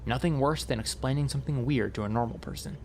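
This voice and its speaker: A man narrating a scripted audiobook story in a wry, expressive storytelling manner. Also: mid-range voice, fast, a little hiss or hum.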